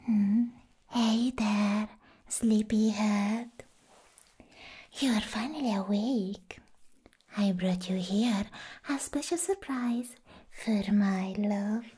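A woman playing a playful, slightly mad young villainess, soft and girlish, whispering and teasing in an ASMR roleplay. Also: a little high. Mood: playful, devoted. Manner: teasing, coy.

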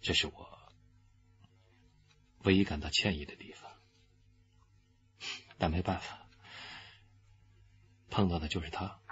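An actor playing a young, arrogant, unrepentant killer confessing calmly in a radio crime drama. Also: male voice, low-pitched, dull audio. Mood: cold, subdued. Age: young adult. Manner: unrepentant, coldly deliberate.

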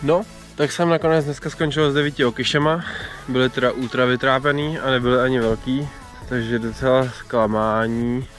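A man's voice: mid-range voice, fairly even intonation, music in the background. A young Czech man, a fishing vlogger, gives laid-back, self-mocking commentary to himself while spin fishing from a belly boat on a lake, recorded on a body-worn action camera. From Czech Republic. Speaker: angler vlogger.

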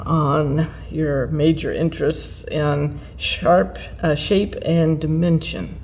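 An older woman interviewing a guest, asking questions and giving attentive, soft "mm-hmm" replies. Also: low voice, steady tone, takes it slowly. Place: lounge-like room, indoors.

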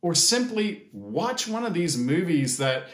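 Slow-paced American man in an echoey room. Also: fairly high pitch, sing-song, fairly bright tone. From United States. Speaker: pastor. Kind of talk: sermon-like address to camera. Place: small indoor sitting room or office.

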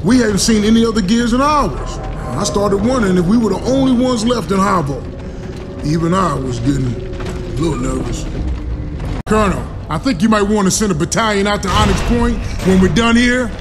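An actor playing an easygoing, jocular soldier in a video game, speaking in a folksy, wry, casual way. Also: male voice, sits high, lively intonation, normal speed. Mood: casual recounting. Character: easygoing, jocular soldier and former sports player. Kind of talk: video game cutscene acting and narration. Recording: music behind.

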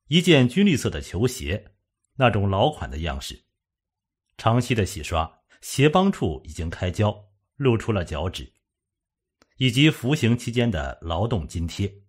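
Husky low male audiobook narrator. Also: big pitch swings, a little slow. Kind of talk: scripted suspense novel narration.

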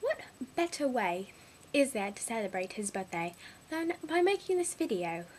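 Excitable high-voiced young woman, put-on British accent. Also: normal speed, slight background noise. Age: young adult. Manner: excitable, gushing, rambling. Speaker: vlogger. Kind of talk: casual video diary.

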